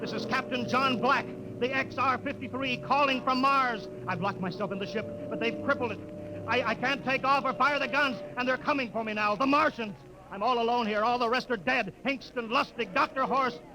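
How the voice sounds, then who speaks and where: An actor playing a desperate, trapped male spaceship captain, urgent and halting, in a 1950s radio drama. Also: extremely high pitch, low-quality recording. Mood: desperate, pleading panic. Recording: vintage radio recording with loud background.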